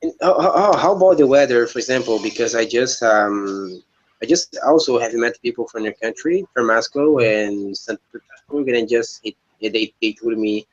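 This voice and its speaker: A man's voice: medium pitch, moderate pace. Hesitant man on a dull call line. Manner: hesitant, searching for words, many restarts. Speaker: language learner. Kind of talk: casual video call chat.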